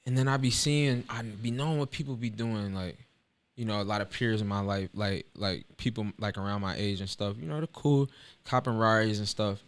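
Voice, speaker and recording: A man's voice: fairly low pitch, a little fast, clean tone. A young man in his twenties speaking earnestly in casual slang on a podcast. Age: 20s. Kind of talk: casual chat.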